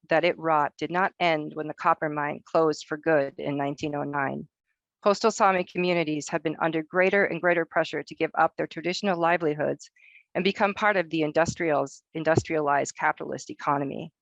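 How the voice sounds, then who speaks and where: A woman from Northern Norway, a researcher giving a prepared academic presentation, reading her text in a measured way. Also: low-pitched, creaky, no echo, lo-fi sound.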